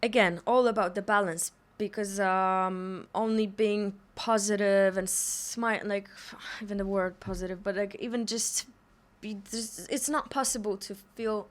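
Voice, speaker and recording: A woman's voice: mid-range voice, fairly even intonation, a little slow, few pauses. A woman from Poland, a guest on a podcast, speaking in a reflective, chatty way with many fillers. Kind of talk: casual conversation.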